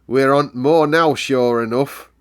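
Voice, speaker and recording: A man voicing a brisk, bossy Yorkshire housekeeper in a storybook, with an emphatic, no-nonsense manner. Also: medium pitch, clean tone, voice pushed up, natural voice. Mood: matter-of-fact.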